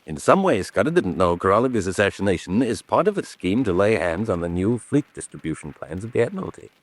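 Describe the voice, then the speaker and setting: Gentleman on the run, low voice, very animated, clipped, purposeful, dry studio sound, old-fashioned radio drama. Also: quick pace, no echo. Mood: grave, determined. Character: determined, quick-witted gentleman on the run.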